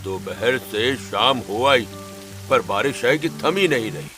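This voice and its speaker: A bright, forceful-voiced rural family man from a cartoon, acted by a man. He is earnest and reassuring in his concern, with faint music behind him. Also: medium pitch, animated, unhurried. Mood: worried. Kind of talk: Hindi animated family story acting.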